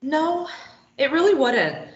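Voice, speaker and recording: A woman's voice: high-pitched, big pitch swings, slow pace, exaggerated voice. A woman playing a bitter, sarcastic, self-deprecating young woman who wants to run away, in a stage play table read. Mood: bitter, resigned. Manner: sarcastic, dismissive. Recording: dull, roomy, echoey recording.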